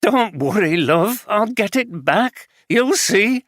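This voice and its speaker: A reader voicing Foster, a nervous middle-aged husband caught gambling, in an audiobook. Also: male voice, high-pitched, animated, slow pace, fairly bright tone, dry recording, studio quality, voice pushed up, exaggerated voice. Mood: pleading. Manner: pleading, evasive, nervous. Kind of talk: audiobook character reading.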